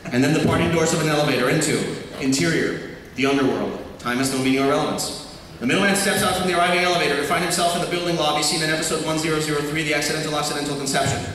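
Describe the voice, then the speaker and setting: A quick-talking man with a fairly high voice reads stage directions aloud. It is a live script reading, heard through heavy echo in a convention hall. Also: fairly even intonation, fairly bright tone. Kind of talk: live table read of a TV script. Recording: echoey noisy convention hall from far off.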